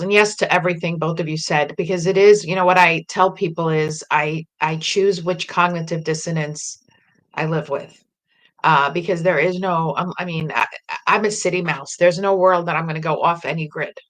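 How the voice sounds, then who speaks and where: A woman raised in New Jersey, talking in an animated, emphatic way in casual conversation. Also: lowish voice, normal speed.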